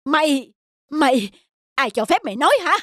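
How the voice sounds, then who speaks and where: Pompous bossy household master, voiced by a woman, high-pitched. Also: expressive, moderate pace, a bit bright, no room sound, clean studio sound. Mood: bossy, irritated. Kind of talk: animated folktale dialogue. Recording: music behind the voice.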